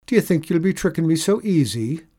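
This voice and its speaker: A man voicing a stubborn, quick-witted Irish tradesman who talks in a hearty brogue. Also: on the high side, sing-song, voice pushed up. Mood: defiant. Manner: bold Irish brogue, shouting.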